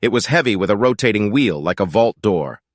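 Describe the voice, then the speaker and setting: Low-voiced man narrating fiction, dry sound. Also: expressive, fairly quick, dry recording. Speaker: audiobook narrator.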